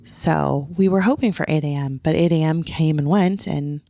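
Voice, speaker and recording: A woman's voice: deep voice, moderate pace, gravelly, mellow, no room sound, studio quality. A woman co-hosting a podcast, recounting things in casual, conversational storytelling. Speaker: podcast co-host. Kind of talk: casual chat, recounting a trip.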